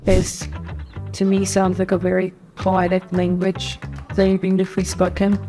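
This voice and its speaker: A woman comments casually in a mellow, medium-pitched voice. Her intonation stays very flat and steady as she gives her impressions. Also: moderate pace, soft background music. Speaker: reaction video guest.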